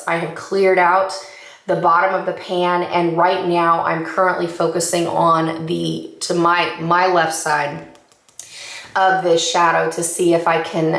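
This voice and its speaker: Woman makeup vlogger, chatty and upbeat, slightly raspy from allergies, lowish voice, roomy echo. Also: fairly bright tone. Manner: upbeat, enthusiastic, rambling. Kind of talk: casual chatty update. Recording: echoey room.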